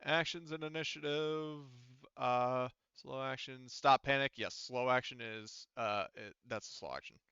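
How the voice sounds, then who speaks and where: A man with a bright, forceful voice runs a tabletop game as game master. He explains rules in a halting, careful way. The sound is dry and close, slightly dull. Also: medium pitch, clean tone, no echo.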